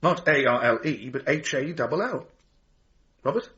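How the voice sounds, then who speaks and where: A man playing a helpful, fussy local records keeper, halting and muttering, in a naturalistic radio drama. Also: medium pitch, lively intonation, sharp tone. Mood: cautious, apologetic. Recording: dull lo-fi taped broadcast, hiss.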